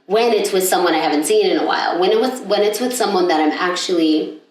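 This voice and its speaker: A woman's voice: fairly low pitch, fast, darkish tone. A young woman co-hosting a podcast, chatting casually and rambling with lots of fillers and restarts. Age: young adult. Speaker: podcast co-host. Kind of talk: casual chat. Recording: echoey room, mic at a distance.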